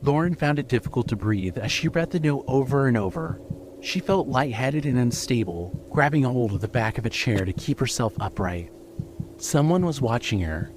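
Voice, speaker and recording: A man narrating a scripted audio drama as its storyteller, reading in a measured way. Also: mid-range voice, darkish tone, slight background noise, rough recording. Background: music.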